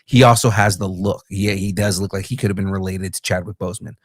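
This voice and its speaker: Low-voiced man, halting podcast talk. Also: steady tone, no room sound, clean studio sound. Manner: hesitant, many fillers and restarts, earnest. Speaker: podcast co-host. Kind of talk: casual chat.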